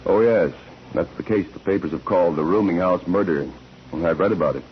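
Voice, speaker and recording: An actor playing an earnest, courteous criminal lawyer in a 1940s radio drama, speaking in a formal, measured way. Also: male voice, sits low, close to an everyday voice. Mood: courteous, probing. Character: forceful, earnest criminal lawyer addressing clients and judge. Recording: dull, noisy old radio recording.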